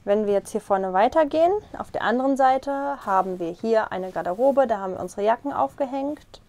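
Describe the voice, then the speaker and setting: Young woman, slightly high voice, casual tour-guide chat, lapel mic in a small caravan. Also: animated, brisk, a little mellow. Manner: friendly, explaining as she walks and points. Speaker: vlogger giving a tour.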